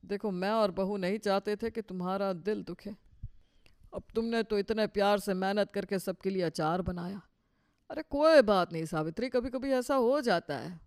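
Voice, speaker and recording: A woman's voice: mid-range voice, normal speed, darkish tone, lightly acted. A woman voicing a meek middle-aged husband in a cartoon story, hesitant and soothing. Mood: placating.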